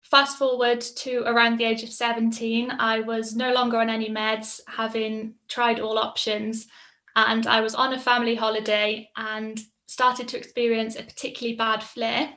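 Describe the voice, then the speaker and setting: A woman's voice: fairly high pitch, level tone, normal speed, pushed and bright. A woman, telling her personal story in a casual, reflective way, with frequent ums. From Mid Wales. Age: 20s. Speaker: patient sharing her story. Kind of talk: personal testimonial.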